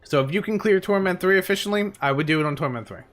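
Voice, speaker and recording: A man's voice: high voice, expressive, fast, a bit bright, soft background music. A man streaming a game live, chatting casually and rambling with plenty of fillers, tired and losing his voice.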